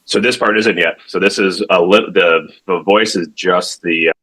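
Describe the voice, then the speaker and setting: Tech founder, male, deep but crisp-edged voice, walking through a product informally, halting and conversational. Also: fairly bright tone, rough recording. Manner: halting, self-correcting, conversational, checks in with "right?". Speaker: tech company founder demonstrating his product. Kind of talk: informal product demo explanation.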